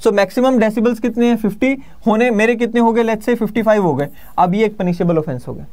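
A man's voice: high-pitched, fast talker, darkish tone. A man in his thirties with an Indian accent, explaining like an exam-prep teacher in a conversational lecture, often rephrasing his points. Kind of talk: explanatory lecture mixing Hindi and English. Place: indoor studio in front of a presentation screen.

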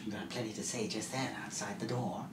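A man playing a haunted, suspicious lone male survivor in an amateur film scene, speaking in hushed, intense tones that slip into whispers. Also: lowish voice, steady tone, quick pace, echoey room, background noise, voice pushed down, natural voice. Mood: brooding.

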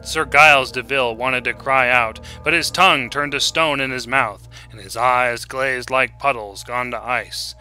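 A man narrating a short story in a dramatic, scripted style. His voice is very bright and forceful at a medium pitch, with music playing underneath. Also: measured pace, few pauses, low-quality recording.

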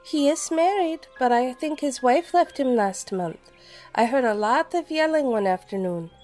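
A woman's voice: sits high, expressive, moderate pace, a little mellow, faint music behind. An actress playing a worried, earnest neighbor, a busy mother, in an audio drama. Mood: confiding.

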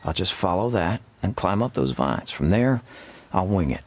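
A man's voice: low voice, sing-song, normal speed. A man acting in a video game as a cool, stubborn lone gunslinger, laconic and wry. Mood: determined.

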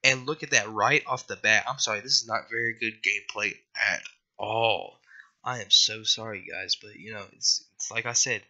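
A man's voice: lowish voice, steady tone, moderate pace, sharp tone, highs cut off. A young man with a scratchy voice after being sick, rambling and apologizing through casual gameplay commentary. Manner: rambling, apologetic, lots of fillers. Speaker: gaming youtuber.

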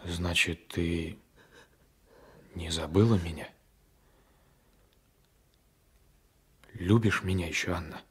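An actor playing a brooding, passionate gendarme and former lover with a menacing streak, in a radio drama. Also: male voice, low-pitched, steady tone, a little slow, husky, exaggerated voice. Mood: insistent. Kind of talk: Soviet 1980s radio drama acting.

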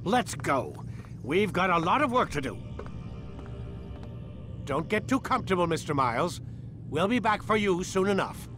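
An irritable, businesslike scientist in a sci-fi game cutscene. He is clipped and matter-of-fact, a little high in pitch, with clear music behind. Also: sing-song, normal speed, pauses often. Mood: annoyed. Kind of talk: video game cutscene acting.

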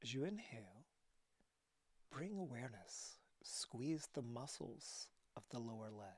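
Male meditation guide, mid-pitched, unhurried and calm, hushed breathy delivery with long pauses, dry intimate sound. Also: no room sound. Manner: calm, soothing instruction. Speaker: yoga meditation teacher.

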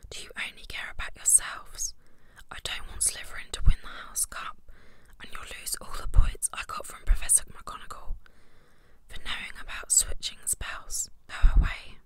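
A bossy young schoolgirl, as a woman reader puts her on from a storybook. She scolds insistently in a breathy whisper with a bright, sharp edge. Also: moderate pace, close to an everyday voice. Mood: angry scolding.

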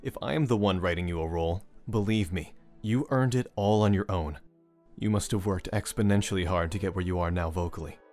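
A man voicing a deep, brooding masked composer. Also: brisk, darkish tone, soft background music. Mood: firm.

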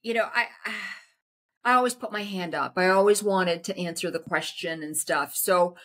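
A middle-aged woman with a somewhat low voice speaks as a guest from her home over a webcam. She gives earnest, emphatic advice, often pausing on fillers before she presses her point. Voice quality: loud voice. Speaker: interview guest. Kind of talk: conversational interview over video call. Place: indoor home room. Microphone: video call webcam.

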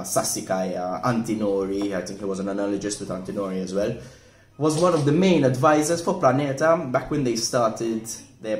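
A man in his forties, casually reviewing a wine at a table indoors, relaxed and thoughtful, often repeating words as he restarts. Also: mid-range voice. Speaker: wine shop manager reviewing wine. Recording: echoey room with faint noise.

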